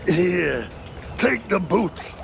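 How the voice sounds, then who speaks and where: A man's voice: high voice, big pitch swings, very slow, gravelly, slight background noise, exaggerated voice. A man voicing a self-loathing drunkard husband in a cartoon game, hesitant and maudlin as he pleads. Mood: sheepish, pleading.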